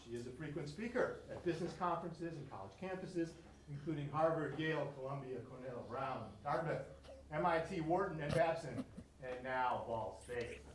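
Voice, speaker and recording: A man's voice: on the high side, moderate pace. A man hosting an event, reading out a guest speaker's credentials in an admiring introduction. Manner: admiring, reads a list of credentials. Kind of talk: prepared introduction speech. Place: lecture room. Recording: roomy echo, distant mic, faint room noise.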